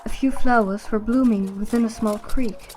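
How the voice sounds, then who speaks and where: A woman's voice: a little high, steady tone, normal speed, a little mellow, faint music behind, close to an everyday voice, low-quality recording. A woman reading scripted story narration as the narrator of an audio drama.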